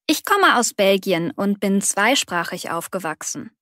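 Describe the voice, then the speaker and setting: A woman's voice: medium pitch, very animated, a little slow, sharp tone, no echo, clean studio sound. A woman reading the part of a friendly young job applicant who explains herself readily, in a scripted dialogue for learners. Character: young female applicant, a freelance writer.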